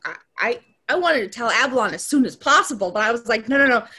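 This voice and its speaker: Animated woman telling a story. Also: fairly high pitch, fast talker, slightly rough. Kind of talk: casual group chat.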